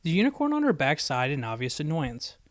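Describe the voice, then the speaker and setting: Higher-voiced man reading a story, dry sound. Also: wildly swooping pitch, brisk, no room sound. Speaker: audiobook reader. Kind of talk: scripted story narration.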